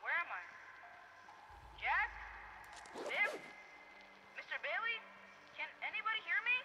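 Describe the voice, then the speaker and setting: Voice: very high-pitched, big pitch swings, a little slow, full character voice. An actor playing an earnest, frightened young boy scout in an old-time radio drama, wholesome and hesitant.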